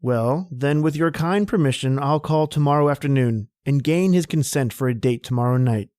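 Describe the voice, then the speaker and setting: A man's voice: fairly high pitch, normal speed, darkish tone, no echo, clean studio sound, close to an everyday voice. A man playing a charming, bold young suitor in an old-time radio drama, talking in a gallant, flowery way. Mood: charming, eager.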